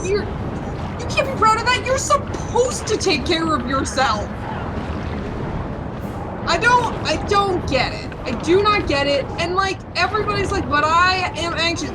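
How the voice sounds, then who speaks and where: A woman's voice: extremely high pitch, heavy background noise. A woman in her late twenties chatting casually while playing a game, opinionated and ranting with plenty of swearing. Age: 20s. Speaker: gaming channel host. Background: game music.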